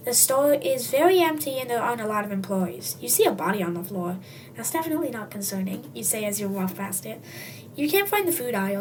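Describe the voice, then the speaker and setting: Casting: high-voiced reader for comic story narration, highly animated, tongue-in-cheek, slightly distant homemade sound. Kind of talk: comic read-aloud narration in second person. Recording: distant mic, faint background noise.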